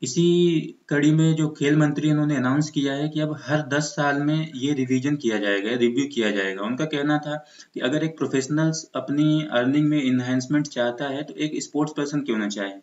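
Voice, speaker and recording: A man's voice: a little high, moderate pace, a little mellow. An Indian man teaching exam preparation in an explanatory lecture style, mixing in English terms. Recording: muffled, echoey room recording.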